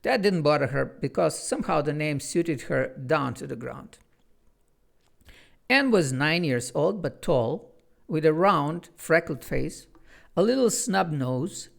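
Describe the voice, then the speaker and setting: A man's voice: a little high, vocal fry. A man from Bulgaria with a Bulgarian accent, chatting earnestly and then reading his own children's book aloud. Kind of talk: chatty intro, then storybook read-aloud.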